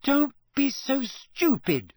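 A man's voice: sits high, big pitch swings, normal speed, husky, no echo, studio quality, voice pushed up, heavily acted. A man voicing an indignant, flustered teenage girl in an audiobook, heated and emphatic. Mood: exasperated.

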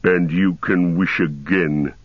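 A man voicing a huge, domineering orc commander in a deep growl, blunt and boastful, in a storybook reading. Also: steady tone, slow. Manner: blunt, commanding, emphatic declarations. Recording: roomy, dull lo-fi sound.

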